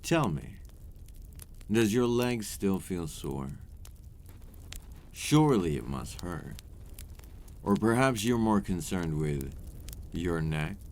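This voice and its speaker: A man voicing a centuries-old vampire in an ASMR roleplay, a courtly, teasing predator with condescending, formal, old-fashioned phrasing and a deep voice. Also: sing-song, takes it slowly, pauses often, a little mellow, heavily acted. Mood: teasing, menacing. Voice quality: deep, breathy at moments.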